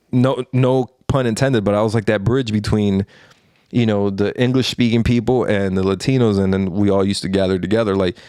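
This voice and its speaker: A man's voice: on the low side, a little mellow, clean tone, no room sound, studio quality. A man in his thirties co-hosting a podcast, giving his opinions in a rambling, earnest way with many fillers and restarts. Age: 30s. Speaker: podcast co-host. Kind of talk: casual opinion chat.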